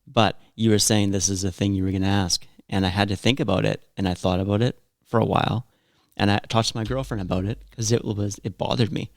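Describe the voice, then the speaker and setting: This is a man talking casually as a co-host, with a low voice and plenty of gravelly fry. He rambles and questions himself as he goes, often restarting his sentences, recorded close and dry. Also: fairly even intonation, quick pace, dry recording. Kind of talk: casual conversation.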